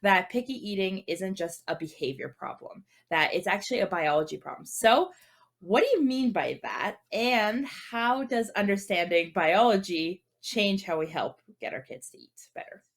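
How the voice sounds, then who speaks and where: A woman from Canada hosting a podcast, opening with a calm, soft scripted welcome before casual chat. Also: mid-range voice, normal speed. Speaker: podcast host, doula and women's health coach.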